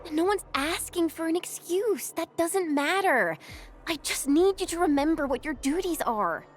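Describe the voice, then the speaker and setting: A woman's voice: extremely high pitch, expressive, normal speed, slight background noise. A woman playing a frank, caring young squadmate in a video game. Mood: firm, concerned.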